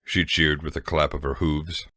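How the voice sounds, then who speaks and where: A man with an extremely deep voice narrates a story from a script. The recording is dry and close, with little room sound. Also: flat delivery, normal speed, bright, forceful tone, no echo.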